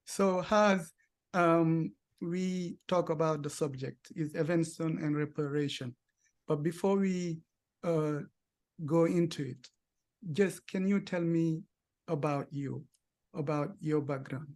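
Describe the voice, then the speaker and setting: Man interviewer with halting, deliberate phrasing. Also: a little high, darkish tone. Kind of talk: conversational interview.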